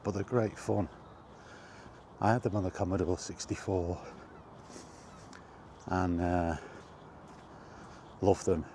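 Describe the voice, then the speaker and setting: A middle-aged man giving a casual, rambling chat as he walks outdoors, in an unhurried, offhand manner. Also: sits low, steady tone, mellow. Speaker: video game vlogger. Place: outdoors, walking toward a park. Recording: outdoor walking recording with background noise.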